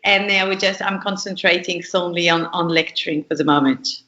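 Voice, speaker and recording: A woman's voice: on the low side, a little fast, sharp tone. Woman, French accent, business lecturer, earnest explainer, echoey room, muffled sound. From France. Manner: earnest, explains with step-by-step examples. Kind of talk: podcast interview, explaining.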